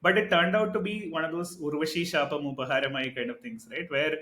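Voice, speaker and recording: Animated man, echoey room. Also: on the high side, fast. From India. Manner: animated, argumentative, peppers lines with "right?". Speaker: podcast co-host. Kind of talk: casual podcast discussion.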